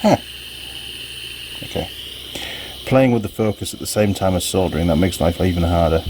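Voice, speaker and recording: A man with a husky voice giving casual hands-on workshop commentary, muttering to himself and his viewers as he works. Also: sits low, normal speed, background noise. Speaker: vlogger, hobby mechanic.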